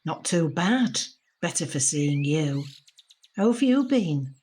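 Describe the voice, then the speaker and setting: A woman playing a gentle, reflective grandmother in an audio drama, speaking in a measured, heartfelt way, encouraging and tender. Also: deep voice, very animated, breathy edge, full character voice. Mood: warm, chatty. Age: older. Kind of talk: audio drama monologue and dialogue. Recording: noticeable background noise with birdsong.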